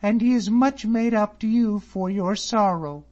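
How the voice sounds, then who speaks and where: A man putting on a proud, sharp-tongued young lady. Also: a little slow. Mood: cold, indignant. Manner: high falsetto-like put-on voice. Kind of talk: audiobook character voice. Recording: slightly muffled recording.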